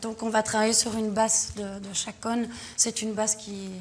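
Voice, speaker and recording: A woman's voice: fairly high pitch, flat delivery, moderate pace, slight background noise. A woman, a baroque musician, explaining casually and hesitantly, searching for her words.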